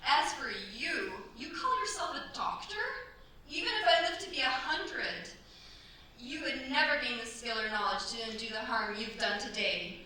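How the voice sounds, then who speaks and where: A woman's voice: on the high side, lively intonation, normal speed, bright, forceful tone. A woman playing a proud, defiant young mistress of a French chateau in a staged period radio play, speaking theatrically and imperiously. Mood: furious, imperious. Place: cultural centre stage, live performance. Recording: echoey stage, distant mic, faint noise.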